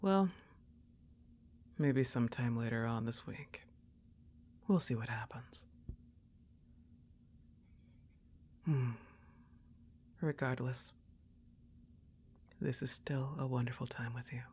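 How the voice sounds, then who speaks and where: A woman playing a laid-back, teasing young goth roommate in an affectionate ASMR roleplay, soft-spoken and often slipping into whispers. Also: rumbling low, takes it slowly, long pauses, a little hiss or hum. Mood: soothing, intimate.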